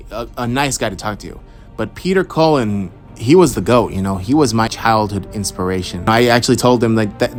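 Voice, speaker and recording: A young man from Houston answering follower questions about voice acting in a loose, rambling chat, full of fillers and restarts. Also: mid-range voice. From Houston, Texas. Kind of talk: casual Q&A monologue.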